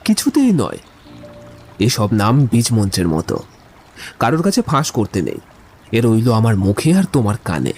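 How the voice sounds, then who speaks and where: An actor playing an eloquent, playful young gentleman in an audio drama, speaking in wordy, theatrical turns. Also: on the low side, huge pitch swings, moderate pace, long pauses, husky, full character voice. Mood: tender, playful. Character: Amit, witty, romantic, eloquent young gentleman. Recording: music behind the voice. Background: music.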